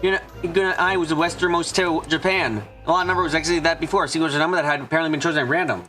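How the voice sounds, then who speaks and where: A man's voice: a little high, fairly even intonation, rapid-fire, bright, forceful tone, soft background music. A man reading scripted visual novel narration as a fan dub streamer.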